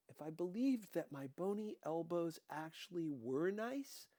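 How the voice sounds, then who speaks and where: A man's voice: on the high side, darkish tone, no room sound, clean studio sound. A man reading a first-person novel aloud as scripted narration. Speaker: audiobook reader.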